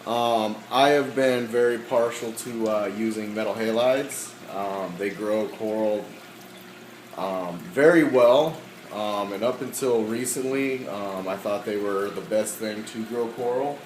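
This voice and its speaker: A man walking through his aquarium equipment in a casual, halting way, with many ums and frequent throat clearing, his voice slightly hoarse. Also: fairly low pitch, echoey room, a little hiss or hum, rough recording. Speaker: aquarium hobbyist.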